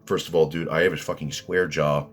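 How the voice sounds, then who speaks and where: A man with a deep voice, ranting at his critics in rambling, combative commentary full of restarts and fillers. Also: flat delivery, fairly quick, soft background music. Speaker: vlogger answering critics.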